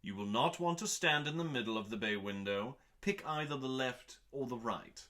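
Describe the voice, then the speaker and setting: A man reading scripted comic narration in a mock-dramatic, pompous manner, pausing deliberately for effect. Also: mid-range voice, lively intonation, bright, forceful tone. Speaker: host reading comedic cookbook recipes.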